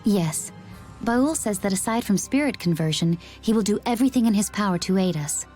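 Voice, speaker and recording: A woman playing a poised, cool-headed young female warrior in an English game dub, speaking in a measured, composed way. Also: medium pitch, lively intonation, warm tone, soft background music, close to an everyday voice. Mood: composed, serious.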